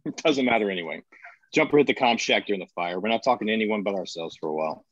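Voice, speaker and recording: A man playing a gruff, sarcastic, short-tempered colony administrator in a screenplay table read. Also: lowish voice, fast talker, a bit bright. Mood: exasperated, sarcastic. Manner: curt, sardonic, foul-mouthed. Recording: dull lo-fi audio.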